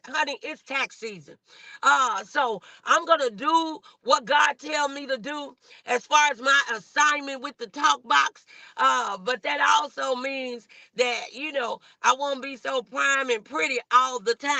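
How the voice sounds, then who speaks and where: A woman's voice: high-pitched, gravelly, sharp tone, dry recording, rough recording. A woman hosting a motivational livestream, preaching in a folksy, exhorting way with repeated phrases, speaking from inside a car. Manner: folksy, colloquial. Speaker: host of a morning motivational show.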